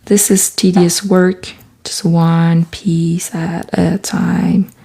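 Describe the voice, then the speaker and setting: Soft, slow-talking woman. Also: fairly low pitch, little pitch movement, gravelly, husky. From Quebec, Canada. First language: French. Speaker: artist and author vlogger. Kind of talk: casual talk while doing collage. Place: small home studio room.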